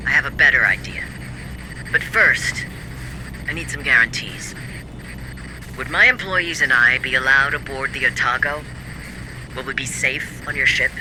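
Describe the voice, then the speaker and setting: A man acts out a cool, self-serving company director in a game cutscene. The voice is mid-pitched, formal and insistent, always bargaining, with clear engine rumble behind it. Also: unhurried, pauses often, lightly acted. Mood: hard bargaining. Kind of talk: video game cutscene acting.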